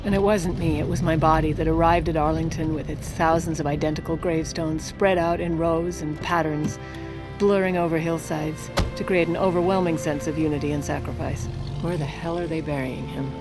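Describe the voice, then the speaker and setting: A scheming First Lady tells her own story in an audio drama, with music clearly under her. She is dry, abrupt and theatrical, always justifying herself. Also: low-pitched, steady tone, fairly quick. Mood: wry, brooding. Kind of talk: scripted audio-drama narration and dialogue.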